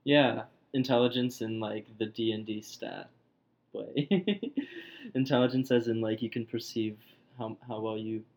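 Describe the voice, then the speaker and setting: A woman with an unusually deep, low-pitched voice co-hosts a casual podcast. She teases her partner in a dry, deadpan way and steers the talk with probing questions. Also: measured pace. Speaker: podcast co-host.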